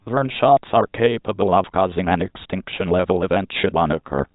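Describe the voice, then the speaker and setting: A man's voice: deep voice, brisk, dry recording. A man's synthetic-sounding voice reading a scripted civil emergency announcement in a formal, impersonal manner. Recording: muffled phone-like narrowband audio.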